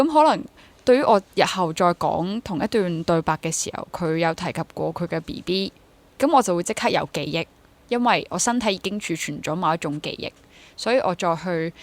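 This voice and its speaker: Lower-pitched young Hong Kong woman. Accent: Hong Kong Cantonese. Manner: mixes English words into speech. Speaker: interview guest, stage actor and drama teacher. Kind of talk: casual reflective interview talk.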